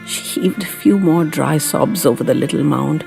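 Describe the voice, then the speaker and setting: A woman reading sentimental short stories as a storyteller, in a soft, expressive, dramatic voice with lingering pauses. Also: deep voice, gravelly. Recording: long hall reverb, music behind.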